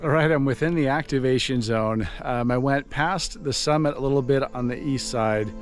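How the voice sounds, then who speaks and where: A man narrating a casual outdoor hiking vlog as a radio hobbyist, in a matter-of-fact, low-key way. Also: moderate pace, faint music behind. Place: outdoors on a forested hiking trail.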